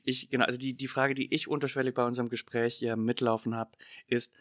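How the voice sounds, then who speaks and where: A man's voice: mid-range voice, little pitch movement, fast talker, fairly bright tone, dry recording, studio quality. Hesitant man asking questions on a podcast. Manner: searching, hesitant phrasing with many tags and restarts. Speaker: podcast interviewer. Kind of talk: casual podcast conversation.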